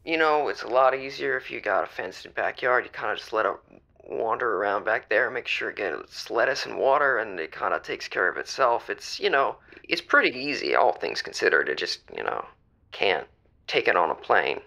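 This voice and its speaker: A man's voice: lowish voice, big pitch swings, normal speed, vocal fry, bright, forceful tone, full character voice. A man playing a folksy, rambling, hesitant caller on a radio call-in show. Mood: sheepish, easygoing. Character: Jamie, folksy, rambling caller burdened with inherited tortoise. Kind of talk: improvised audio drama, call-in.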